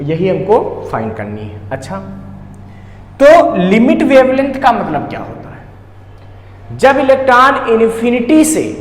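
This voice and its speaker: A man with an Indian accent teaching physics step by step, asking questions and answering them himself, with English technical terms mixed in. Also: high voice. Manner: mixes English technical terms into his talk. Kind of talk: step-by-step problem-solving lesson. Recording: echoey reverberant room, faint background noise.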